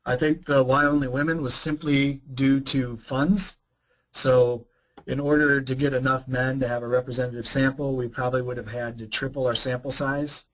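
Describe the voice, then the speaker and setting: A hoarse man, a researcher, answering webinar questions in a measured, explanatory way. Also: medium pitch, flat delivery, fairly bright tone, rough recording.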